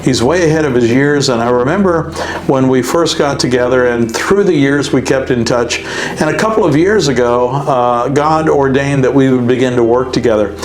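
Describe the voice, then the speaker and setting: A man hosting a faith-based interview, speaking earnestly with frequent pauses and filler sounds. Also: mid-range voice, a little hiss or hum, rough recording. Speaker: host and ministry president.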